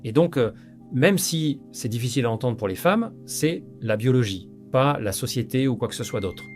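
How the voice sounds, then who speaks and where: A man's voice: mid-range voice, animated, normal speed, a little mellow, soft background music. A man narrating scripted commentary over clips in a blunt, lecturing way. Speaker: commentary channel host.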